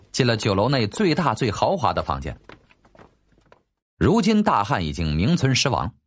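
一位男演播者用戏剧化又略带调侃的口吻讲述历史小说有声书。. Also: male voice, fairly low pitch. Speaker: audiobook narrator. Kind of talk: dramatic storytelling narration of a historical novel. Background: faint background music.

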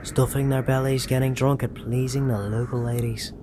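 Male actor as sci-fi intelligence agent, sharp, commanding, clipped questioning, medium pitch, noisy surroundings. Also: little pitch movement, moderate pace, lightly acted. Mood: businesslike. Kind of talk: science fiction audio drama acting. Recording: clear background ambient noise.

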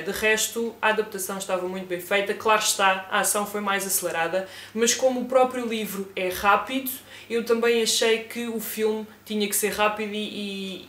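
A woman's voice: mid-range voice, slight background noise. Chatty Portuguese woman in echoey room. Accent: European Portuguese. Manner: chatty, hesitant with many fillers and restarts. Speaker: book vlogger. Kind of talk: casual book review. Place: home room with bookshelves. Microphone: desk camera at close range.

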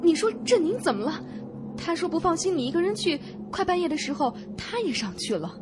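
Casting: actress as anxious young woman, horror radio play, high pleading voice, very lively pitch, music underneath. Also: a little fast. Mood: worried. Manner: emotive, pleading. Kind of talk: Chinese suspense radio drama acting. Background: dramatic background music.

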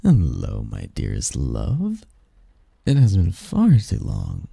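Man acting as a dark-voiced, seductive demon lover. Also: a bass voice, wildly swooping pitch, slow pace, vocal fry, husky, no room sound, studio quality, full character voice. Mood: affectionate. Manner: flirtatious, formal wording, soft intimate delivery, chuckling. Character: seductive possessive male sleep demon lover, teasing and doting. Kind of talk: intimate ASMR roleplay acting.

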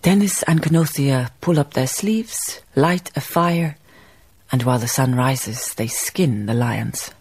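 An actress playing a reflective woman memoirist, narrating a radio drama in a measured, lyrical way, savouring her phrases. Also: rumbling low, darkish tone, breathy edge, heavily acted. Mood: contemplative. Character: reflective, poetic woman memoirist recalling colonial farm life.

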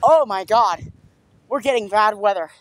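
A young man vlogging while walking outside, talking excitedly and repeating himself about an approaching storm, filmed on a handheld phone. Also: high-pitched, unhurried, no echo, rough recording. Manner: excited, repetitive exclamations. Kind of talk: casual walking vlog. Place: outdoors on a small-town street. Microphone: phone camera held at arm's length. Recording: outdoor street noise.

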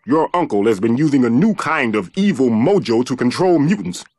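An actress playing an earnest, exasperated young heroic sidekick in a cartoon video game. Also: female voice, very deep voice, animated, moderate pace, no room sound, studio quality, heavily acted. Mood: urgent. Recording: loud music behind the voice.

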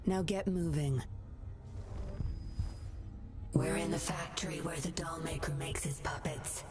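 A woman's voice: deep voice, normal speed, loud music behind the voice. An actress playing a cunning young woman double agent, teasing and coolly commanding. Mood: whispered.

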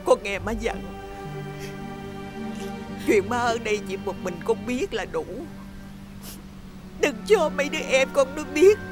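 An actress playing a gentle, self-sacrificing mother who speaks softly and tearfully. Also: fairly high pitch, lively intonation, a little slow, pauses often. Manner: soft, tearful pleading. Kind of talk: stage drama acting. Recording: music behind the voice.

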